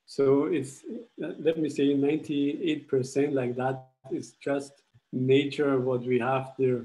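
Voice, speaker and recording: A man's voice: medium pitch, flat delivery, warm tone, poor audio. Austrian man presenting over a video call. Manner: halting, restarts phrases while explaining slides. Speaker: charity founder presenting a school project. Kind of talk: webinar presentation. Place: indoors at home. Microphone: laptop webcam video call.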